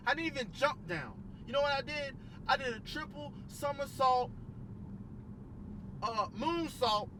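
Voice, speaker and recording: A woman's voice: high-pitched, bright, forceful tone, a little hiss or hum, full character voice, poor audio. A woman voicing a cocky, trash-talking self-proclaimed wrestling champ who brags and taunts. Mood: bragging. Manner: boastful, swaggering trash talk.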